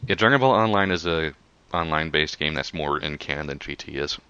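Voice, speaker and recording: Dull-recorded deep man, fast and forceful. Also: smooth, no room sound. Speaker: podcast co-host. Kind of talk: casual chat, retrospective commentary.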